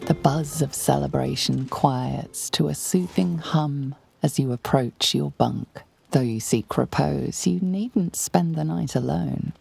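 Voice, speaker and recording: A woman playing a calm, knowing fantasy game narrator, measured and intimate in a dramatic scripted style. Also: low voice, vocal fry, husky, soft background music, full character voice. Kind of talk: scripted second-person narration.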